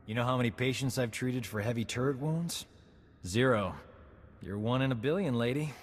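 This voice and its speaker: A man as a husky, sardonic army medic. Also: medium pitch, moderate pace, natural voice. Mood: wry. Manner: dry, sarcastic. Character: sardonic, wisecracking male army medic sidekick. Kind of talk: video game voice acting. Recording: hall reverb.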